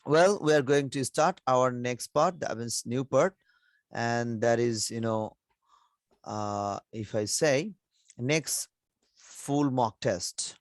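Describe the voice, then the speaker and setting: A teacher acting as examiner, asking mock exam questions in a measured way with fillers. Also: mid-range voice, no echo, clean studio sound.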